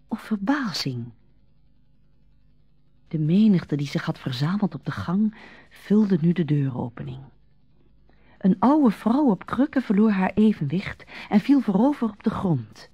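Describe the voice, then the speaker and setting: A woman narrating a radio drama in a measured, literary storytelling manner. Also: mid-range voice, husky, no echo, highs cut off.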